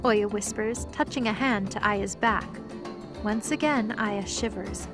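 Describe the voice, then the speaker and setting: A woman's voice: mid-range voice, unhurried, music in the background. A woman reading scripted present-tense narration for an audio drama.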